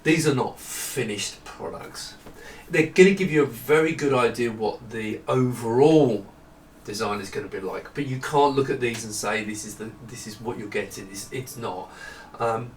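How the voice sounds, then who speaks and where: A man's voice: mid-range voice, moderate pace. An English man giving an informal, unscripted product demonstration to camera in a rambling, explanatory way. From England. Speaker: presenter of his own product design video. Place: indoor room with studio lighting. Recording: roomy echo, distant mic, faint noise.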